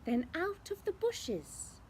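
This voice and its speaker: A woman storyteller reading a picture book aloud in an expressive, theatrical way, drawing out words. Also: extremely high pitch, slight background noise. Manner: theatrical, with drawn-out words and sound effects. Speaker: children's storyteller.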